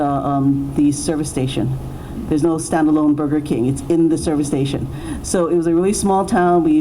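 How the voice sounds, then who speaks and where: A middle-aged woman with a low-pitched, mellow voice talks informally as a panelist at a public forum. She speaks haltingly and circles back to restart her phrases. There is some faint noise behind her. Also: rough recording. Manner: halting, self-correcting, with many repeated starts. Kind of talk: informal unscripted public talk.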